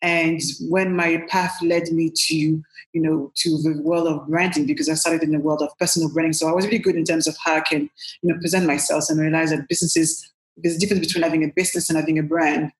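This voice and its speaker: A woman's voice: low-pitched, flat delivery, fast, reverberant, low-quality recording. A woman with a French accent, a brand strategist guest, answering interview questions in a storytelling way with many "you know" fillers. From France.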